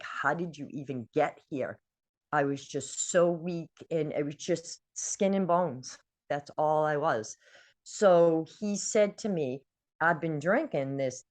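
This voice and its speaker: An older woman from New England, a guest telling her personal story in an earnest, emotional way with frequent pauses and ums. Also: deep voice. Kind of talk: personal testimony.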